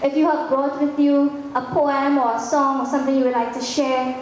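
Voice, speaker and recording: High-voiced woman in a very echoey hall. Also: little pitch movement, normal speed. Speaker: institute representative giving a welcome speech. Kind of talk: welcome address at a public event. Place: large echoey room at a public event. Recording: very echoey hall, faint background noise.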